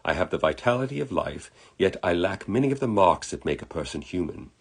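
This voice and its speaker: Storybook role: man playing courteous British dead gentleman, wistful and musing, slightly rough-edged voice. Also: low voice, sing-song, a little fast, full character voice. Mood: earnest, reassuring. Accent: British, put on. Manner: reflective, courteous, eloquent. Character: genteel, wistful British dead man, friendly spirit in human body.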